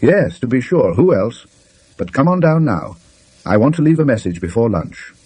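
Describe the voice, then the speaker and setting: Guy reading as amused chatty gentleman in a ghost story, teasing, pitch jumping about, close dry sound. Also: very animated, normal speed, husky, no echo. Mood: mildly regretful. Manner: exclamatory, chatty English gentleman's talk. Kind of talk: audiobook character voice in a ghost story.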